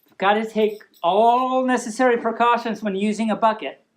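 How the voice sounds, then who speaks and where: A woman's voice: on the high side, fairly even intonation, moderate pace. A woman hosting a storytime, reading aloud in a playful, mock-serious manner with chatty asides and stumbles over long words. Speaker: storytime show host.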